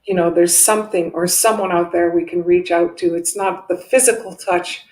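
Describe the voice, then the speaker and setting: A woman with a fairly low voice gives intuitive guidance in an encouraging, reassuring way. She speaks as a guest reader on a video call, and the room rings with noticeable echo. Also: fairly even intonation, moderate pace, darkish tone. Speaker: guest intuitive medium giving a reading. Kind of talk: spiritual reading and advice. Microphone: video call microphone. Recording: roomy echoing video call.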